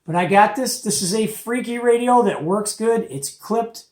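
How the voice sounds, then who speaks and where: Man, middle-aged, higher pitch, folksy, opinionated, casual product demo, echoey room. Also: moderate pace, a loud crowd. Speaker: host of a CB radio show-and-tell. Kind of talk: casual product show-and-tell chat. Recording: echoey, roomy home recording.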